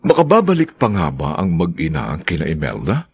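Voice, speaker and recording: A Filipino man announces a radio drama with a deep, creaky voice. His delivery swings widely in pitch and unrolls slowly, with theatrical weight on each name. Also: wildly swooping pitch, a little mellow.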